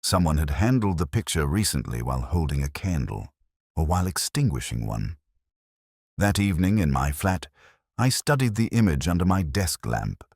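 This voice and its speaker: A man narrating a literary detective story in the first person, in a measured, wry manner as a pensive police detective. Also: a bass voice, very animated, darkish tone, no room sound, clean studio sound.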